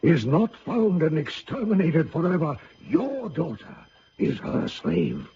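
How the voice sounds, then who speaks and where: A man's voice: fairly high pitch, very animated, measured pace, gravelly, warm tone, exaggerated voice. An actor playing a grieving old general who tells his story solemnly and dramatically in a radio drama. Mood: urgent warning. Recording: dull, hissy old radio recording.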